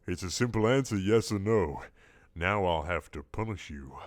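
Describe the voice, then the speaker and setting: A man voicing a huge male giant squid, smooth-talking and domineering, in a hushed, persuasive, unhurried way for a comic story. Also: low voice, lively intonation, no room sound, studio quality. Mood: commanding.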